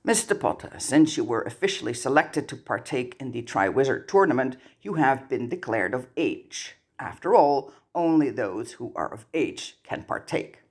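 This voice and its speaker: A narrator voicing a stern male goblin account manager in a storybook, formal and curt in a monotone manner. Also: mid-range voice, moderate pace, few pauses, husky.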